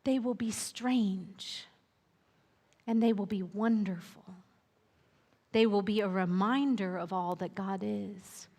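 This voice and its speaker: A woman voicing a wise, ancient female turtle who speaks in slow, soft, solemn pronouncements. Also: medium pitch, long reverb tail, pitched below the performer's own voice, close to an everyday voice. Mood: prophetic.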